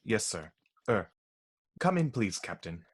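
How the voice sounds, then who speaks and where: A man acting a flustered household butler in a radio mystery, husky and deferential with a faltering delivery. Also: on the low side, sing-song, unhurried, heavily acted.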